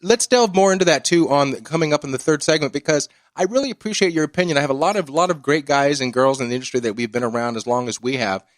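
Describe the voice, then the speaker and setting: Fast-talking brash radio host man. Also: a little high, no echo, clean studio sound. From East Los Angeles. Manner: brash, ranting, effusive. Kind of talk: casual radio talk. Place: radio studio.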